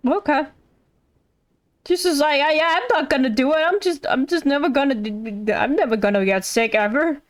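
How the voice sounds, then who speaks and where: A woman's voice: high-pitched, normal speed. A woman giving casual live gameplay commentary as a streamer, informal and musing, with some swearing. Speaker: game streamer.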